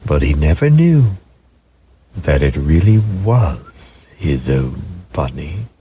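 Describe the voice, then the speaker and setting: A man narrating a children's story as a dramatic reading, expressive and measured, with deliberate pauses. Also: a bass voice, highs cut off, a little hiss or hum.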